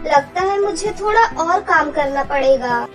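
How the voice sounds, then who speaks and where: A woman's voice: sits high, lively intonation, measured pace, far from the mic, over music. A woman voicing a devoted, hard-working mother in a cartoon story, reassuring and motherly. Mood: worried. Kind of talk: cartoon voice acting.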